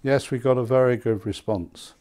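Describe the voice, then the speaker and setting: A man in his seventies with an English accent, reminiscing conversationally in an interview, halting and repeating words. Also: mid-range voice, warm tone. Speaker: loudspeaker maker being interviewed. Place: small indoor hi-fi demonstration room. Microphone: lapel mic.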